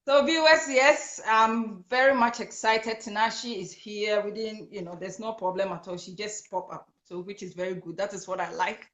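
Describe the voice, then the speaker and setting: A woman hosting a live-streamed talk show, excitable and quick to laugh, with many fillers. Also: mid-range voice. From Ghana. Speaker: host of an online talk show. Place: home in Brisbane. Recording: echoey room.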